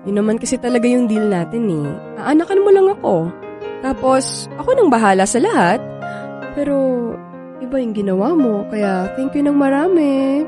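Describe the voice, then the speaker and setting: A woman acting in a Filipino audio drama as a playful, flirty, clingy expectant mother, teasing and expressive. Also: on the high side, normal speed, over music. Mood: grateful, tender.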